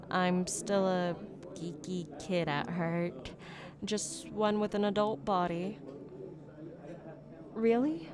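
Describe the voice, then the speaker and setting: A woman playing a shy, geeky young silver fox on a blind date, speaking politely and hesitantly in an ASMR roleplay. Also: fairly low pitch. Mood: apologetic, earnest. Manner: awkward, flustered, hesitant, politely formal. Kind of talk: ASMR roleplay, one-sided conversational acting.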